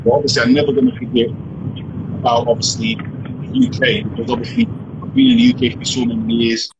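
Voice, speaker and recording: A man's voice: medium pitch, very animated, quick pace, highs cut off, background noise. A young man whose first language is Portuguese, chatting casually on a live video call from a room, often saying "obviously" and "you know what I mean". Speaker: guest rapper. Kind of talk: casual chat on a live stream. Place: indoor room. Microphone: phone at arm's length, video call.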